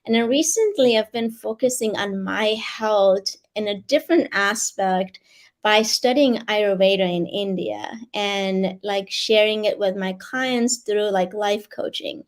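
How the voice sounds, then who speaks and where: Lady author, bit raspy, medium pitch, sharing and reading on a video call. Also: measured pace. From Iran. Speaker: guest author and life coach. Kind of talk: personal sharing and reading aloud.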